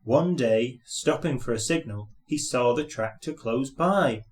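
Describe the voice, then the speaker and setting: A man reads a children's storybook aloud as narrator. His delivery is slow, with a medium-pitched voice. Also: animated.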